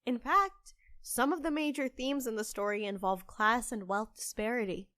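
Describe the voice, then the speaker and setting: A woman's voice: fairly high pitch, normal speed, fairly bright tone, dry recording, studio quality, close to an everyday voice. A woman playing an earnest, hopeful young witch who runs a cafe, in a conversational audio drama style. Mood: upbeat.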